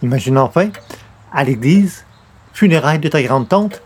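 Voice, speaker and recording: A man's voice: a little high, animated, moderate pace, husky. A man playing a genial, bumbling newspaper columnist in a radio comedy, hesitant and coaxing. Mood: wry. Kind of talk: old-time radio comedy acting.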